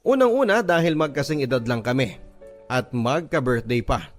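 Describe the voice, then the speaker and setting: A man's voice: mid-range voice, lively intonation, normal speed, faint music behind. A man reading a scripted first-person love story as narration for a radio drama.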